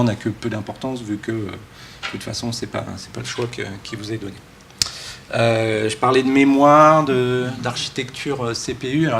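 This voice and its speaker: A man speaks informally as a conference keynote speaker, joking and halting with many false starts. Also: mid-range voice. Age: early 40s. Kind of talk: casual, joking conference talk. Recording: live talk with background room noise.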